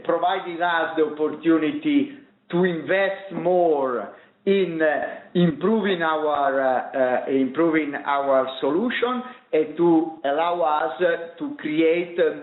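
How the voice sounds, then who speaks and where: Halting man on an echoing phone line. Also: on the high side. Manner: halting, searching for words. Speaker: company executive. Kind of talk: unscripted answers on an earnings conference call. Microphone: conference call line.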